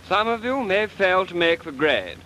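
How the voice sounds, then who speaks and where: A stern naval flying commander. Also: male voice, high-pitched, big pitch swings, moderate pace, sharp tone, heavily acted. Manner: crisp, authoritative officer's address. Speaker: commanding officer addressing trainee pilots. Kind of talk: 1940s British film acting. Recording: faintly noisy 1940s film soundtrack.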